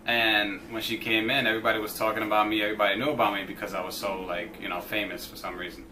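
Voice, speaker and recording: Young man, low-ish pitch, relaxed and hesitant, casual storytelling, faint background noise. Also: fast talker. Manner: laid-back, hesitant, lots of "you know" and "uh". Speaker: vlog co-host.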